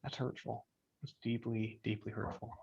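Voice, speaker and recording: A man's voice: lowish voice, flat delivery, moderate pace, creaky, a little mellow. A man on a webinar panel making casual, wry, self-deprecating banter over a dull connection.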